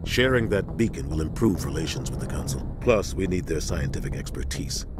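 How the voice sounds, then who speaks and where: An actor playing a steady, serious military starship captain in a video game, giving firm, authoritative briefings. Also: male voice, rumbling low, a little fast, music in the background. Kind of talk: video game cutscene acting.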